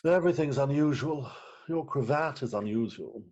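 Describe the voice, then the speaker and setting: A man acts out a flustered middle-aged magistrate in a stage farce. He is pompous and self-pitying, with halting, theatrical delivery. The sound is a little dull and lo-fi. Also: mid-range voice, a little mellow, natural voice. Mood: anxious. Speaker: actor. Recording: dull remote call audio.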